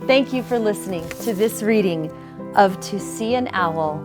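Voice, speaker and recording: A woman reading a children's picture book aloud in a deliberate, measured way with dramatic pauses. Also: medium pitch, over music. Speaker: story reader, school superintendent.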